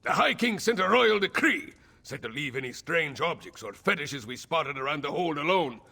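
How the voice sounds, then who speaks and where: An actor playing a proud, weary, aging northern jarl in a video game, blunt and sardonic, with a gritty voice. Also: male voice, on the high side, big pitch swings, normal speed, vocal fry, sharp tone, breathy edge, big-room sound, exaggerated voice. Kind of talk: video game character acting.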